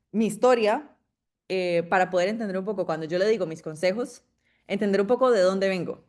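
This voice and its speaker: A young woman from Costa Rica with a Costa Rican accent, giving an informal, chatty talk to an audience, with frequent fillers and restarts. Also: medium pitch. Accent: Costa Rican Spanish. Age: young adult. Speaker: guest speaker, PhD student.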